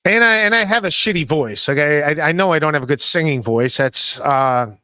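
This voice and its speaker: Man in his forties, podcast host, self-deprecating banter, high bright voice. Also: a little fast, clean tone, no echo. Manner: self-deprecating, reads chat messages aloud. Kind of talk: casual chat. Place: home room, indoors. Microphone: studio mic with headphones, video call.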